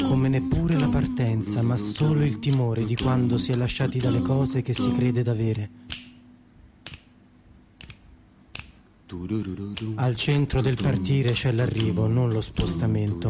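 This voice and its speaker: An actor playing a whimsical poet, reciting surreal soliloquies for radio theatre with deliberate, declamatory phrasing over plucked guitar. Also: male voice, medium pitch, lightly acted. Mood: contemplative.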